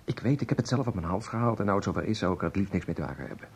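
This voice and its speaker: Uneasy irreverent staffer, lowish voice, lively swings, colloquial, radio play, faint noise. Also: male voice, very fast. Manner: informal, blunt. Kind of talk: Dutch radio drama acting. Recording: faintly noisy old radio recording.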